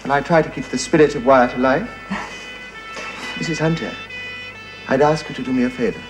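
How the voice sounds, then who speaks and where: An actor playing a soft-spoken male war veteran in a radio drama, dry and politely ironic. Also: medium pitch, moderate pace, lightly acted. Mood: wry. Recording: music playing underneath.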